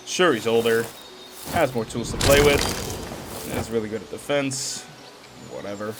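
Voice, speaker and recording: A man voicing a brash, confident swordsman mentor in an animated fan dub, talking in a casual, cocky, slangy way. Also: medium pitch, moderate pace, heavy background noise, lightly acted. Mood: emphatic coaching. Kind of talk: fan dub character acting. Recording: loud music under the voice.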